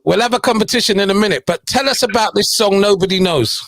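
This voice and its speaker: A man's voice: sits high, fast talker, a bit bright, no room sound. A middle-aged man hosting a radio show, chatting and teasing his guest in a casual interview.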